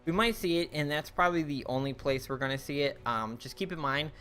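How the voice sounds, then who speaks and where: A man's voice: fairly high pitch, a little fast, sharp tone, smooth, soft background music. A young man casually reviewing cards for a card game, thinking aloud with many fillers and restarts, his voice getting worn. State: losing his voice.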